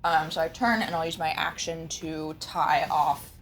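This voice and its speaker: A woman's voice: sits low, steady tone, unhurried. A woman playing a tabletop role-playing game, talking casually through her moves. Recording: background music under the voice.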